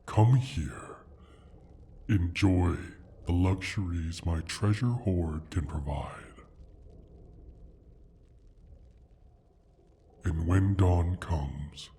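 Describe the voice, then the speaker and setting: A man voicing a proud, sly male dragon in an ASMR fantasy roleplay, speaking in a deliberate, formal, teasing way with a husky, breathy rumble. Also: dark tone. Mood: possessive, silky. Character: injured male dragon, proud, sly, possessive of his hoard.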